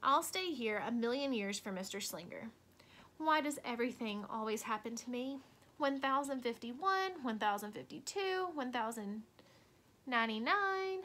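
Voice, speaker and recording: Lady doing a dramatic little storybook girl, high and gushy, swings all over. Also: expressive, moderate pace, sharp tone. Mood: self-punishing. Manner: emphatic, gushing, repetitive. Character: earnest, dramatic little schoolgirl mouse in a storybook.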